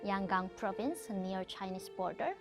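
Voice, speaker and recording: Korean-accented woman telling her story over music. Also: mid-range voice. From North Korea. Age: late 20s. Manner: halting non-native English phrasing. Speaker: speaker giving a personal testimony. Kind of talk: personal storytelling to an audience.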